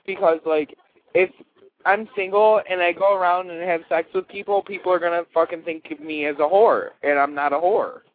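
A man's voice: high voice, steady tone, normal speed. A twenty-year-old man calling a radio show, chatting casually and confessionally in a blunt, sweary, self-deprecating way. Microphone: telephone. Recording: tinny phone line.

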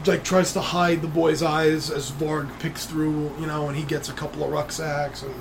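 A game master narrating a tabletop game in a relaxed, conversational way. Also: mid-range voice, moderate pace, gravelly, background noise.

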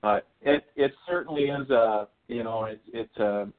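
A man's voice: mid-range voice, moderate pace. American man on a phone-like line. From northern Minnesota, United States. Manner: joking, rambling, repeats "you know". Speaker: guest psychic medium. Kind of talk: casual interview chat. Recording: phone-like thin audio.

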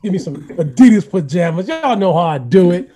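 Male co-host, high but mellow voice, brash boastful banter. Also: sing-song, fairly quick. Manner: brash, boastful, loud joking. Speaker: podcast co-host. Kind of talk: casual banter.

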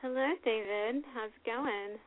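A woman's voice: a little high, unhurried. A woman hosting a casual radio interview, bubbly and chatty, laughing often between questions. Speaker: radio show host. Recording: phone-like lo-fi audio.

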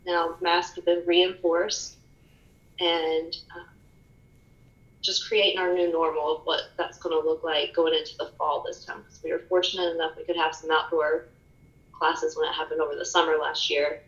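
A soft-spoken woman guest on a podcast, speaking candidly and reflectively in casual conversation. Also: sits low, flat delivery, normal speed, echoey room, highs cut off. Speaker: podcast guest, fitness studio owner. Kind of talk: casual interview chat.

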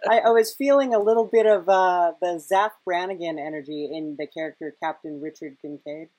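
A woman's voice: lowish voice, a little mellow, dry recording, low-quality recording. A woman hosting a casual podcast interview, feeling her way through questions with many false starts. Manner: halting, hesitant phrasing with repeated false starts.

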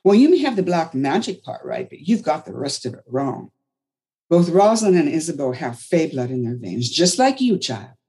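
A woman voicing a protective older caretaker who speaks firmly and chides gently. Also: sits low, big pitch swings, normal speed. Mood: chiding. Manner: firm, chiding, motherly.

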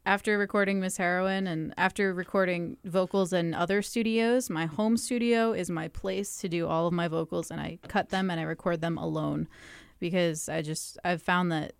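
A woman's voice: fairly low pitch, fairly even intonation, moderate pace, vocal fry, clean tone, no echo. A young woman singer answering interview questions in a chatty way, with frequent "um" and "like" and little laughs. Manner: chatty, laughs often, fillers like "um" and "like".

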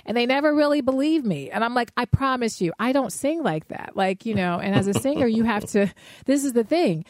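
A woman singer with a soft voice, talking casually and animatedly with many "you know" asides. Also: on the high side, animated, brisk, no echo. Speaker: singer and podcast co-host. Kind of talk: casual conversation.

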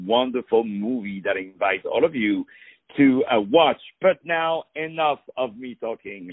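A man's voice: mid-range voice, no echo, narrow, tinny audio. A man hosting a radio interview, effusive and repetitive, stumbling over words in his long questions. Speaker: radio host.

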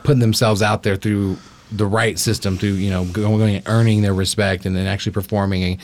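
A man's voice: fairly low pitch, little pitch movement, a little fast, a little mellow. A man hosting a casual talk show, rambling bluntly and swearing heavily as he goes. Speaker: podcast host. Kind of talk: casual profane chat.

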